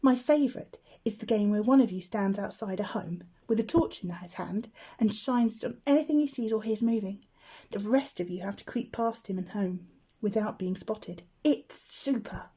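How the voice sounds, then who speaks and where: A woman's voice: mid-range voice, normal speed, husky, close to an everyday voice. A woman voicing a cheerful, teasing older boy, a matter-of-fact scout in a storybook. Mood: enthusiastic. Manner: breezy, exclaiming, gently mocking.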